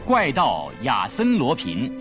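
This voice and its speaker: Slow, theatrical male announcer over loud music. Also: mid-range voice, wildly swooping pitch, lo-fi sound. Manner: drawn-out, theatrical. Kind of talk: dramatic radio title announcement.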